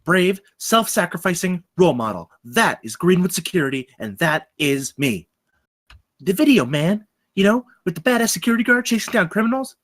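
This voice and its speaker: A man plays a naive rookie campus guard in a comedy table read. The voice is husky and jumps around in pitch. He comes across as excitable and full of himself. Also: moderate pace, exaggerated voice. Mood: eager.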